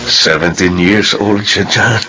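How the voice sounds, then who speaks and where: A gentle but resolute middle-aged father in a Filipino radio drama. His voice is deep and he speaks with measured sincerity over faint noise. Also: steady tone. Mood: gentle, sombre.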